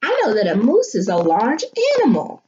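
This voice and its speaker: A woman schoolteacher reading a children's picture book aloud expressively, pausing to think aloud and put questions to young listeners. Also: medium pitch, huge pitch swings. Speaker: elementary school teacher. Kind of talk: animated children's picture-book read-aloud with teaching asides. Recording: muffled audio with clear background noise.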